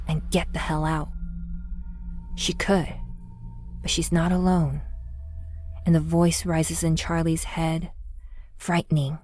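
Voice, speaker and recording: A woman narrating a scripted audio drama in a measured, suspenseful storytelling manner. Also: deep voice, darkish tone.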